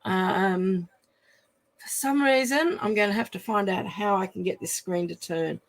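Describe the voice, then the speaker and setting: A woman with a croaky voice, chatting casually and apologetically on a home live stream while fixing her phone camera. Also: medium pitch, unhurried, slight background noise, poor audio. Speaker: live stream craft demonstrator. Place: room at home.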